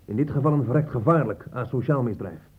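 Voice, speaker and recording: Man acting a husky, blustering police inspector. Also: medium pitch, fast, close to an everyday voice. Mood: stern warning. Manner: blustering, threatening, condescending. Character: stern, impatient male police inspector, easily angered. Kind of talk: radio play acting in Dutch. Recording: muffled, phone-like old radio recording.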